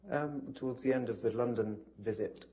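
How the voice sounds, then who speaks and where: A man's voice: medium pitch, moderate pace, dark tone, breathy edge. A man testifying as a witness in court, recounting from memory in polite, hesitant, broken phrases.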